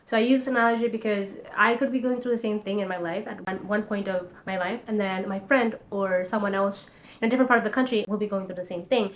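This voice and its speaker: A woman's voice: medium pitch, fairly even intonation, quick pace, highs cut off, slight background noise. Earnest young woman. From Nepal. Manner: earnest, encouraging, motivational. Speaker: vlogger and life coach. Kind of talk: casual talk to camera.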